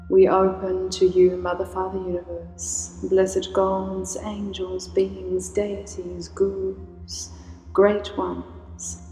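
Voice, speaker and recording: A woman leading a guided meditation in a slow, soothing, invocational way. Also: medium pitch, completely flat delivery. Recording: roomy reverb with soft music.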